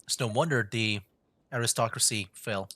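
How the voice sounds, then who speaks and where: A woman's voice: rumbling low, moderate pace, fairly bright tone. A woman streaming a game with casual, wry, slangy reactions in a laid-back manner.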